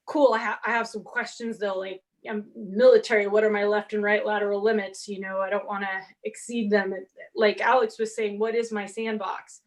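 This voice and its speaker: A woman in her forties whose first language is Portuguese, telling an animated story on a convention panel and acting out the exchanges she quotes. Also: medium pitch, normal speed. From half Brazilian, grew up speaking Portuguese. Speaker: panelist author. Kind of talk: casual panel talk.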